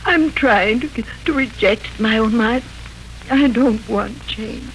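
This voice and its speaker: A woman's voice: fairly high pitch, unhurried, a little hiss or hum, low-quality recording. An actress playing a weary, sorrowful aging mother who resists change, in 1940s radio drama style. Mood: sad, upset. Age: older.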